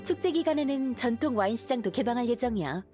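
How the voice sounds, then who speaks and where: A woman's voice: fairly high pitch, expressive, fast, dark tone, soft background music, lightly acted. A woman playing an earnest, dutiful young knight leader in an anime game, composed and formal as she explains. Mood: informative. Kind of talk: Korean anime game voice acting.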